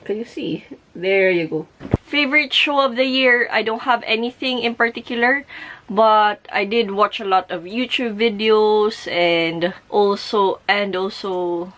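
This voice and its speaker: A woman's voice: mid-range voice, measured pace, fairly bright tone. A woman in her thirties with a Filipino accent, chatting casually in a home vlog from her bedroom and switching between Tagalog and English. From Philippines. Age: 30s. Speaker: vlogger.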